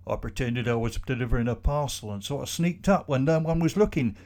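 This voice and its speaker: A man voicing a fidgety, remorseful young man in his thirties, pleading in a high, loud voice in a storybook reading. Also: fairly quick, lightly acted. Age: 30s.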